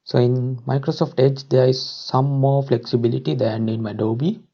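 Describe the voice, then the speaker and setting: Man, creaky low-brightness voice, husky, halting instructional screen-tutorial narration, muffled lo-fi sound. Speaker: software tutorial presenter.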